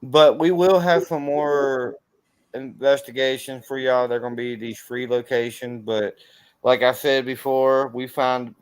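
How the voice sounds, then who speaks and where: A man talks casually as a channel host. His medium-pitched voice is smooth and clear, and it sounds dry with very little room around it. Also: fairly even intonation, measured pace, dry recording, poor audio. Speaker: host of a paranormal investigation channel. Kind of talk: casual livestream chat.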